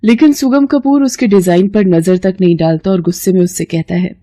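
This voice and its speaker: A woman's voice: mid-range voice, fairly quick, warm tone, no room sound, clean studio sound. A woman narrator reading a scripted moral story in a dramatic storytelling style.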